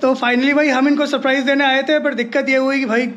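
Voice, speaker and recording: A man's voice: very high-pitched, level tone, a little fast, fairly bright tone, lo-fi sound. A man chatting and joking as he vlogs a family road trip, laughing often. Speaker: travel vlogger. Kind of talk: casual family travel vlog. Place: roadside stall, car and family home.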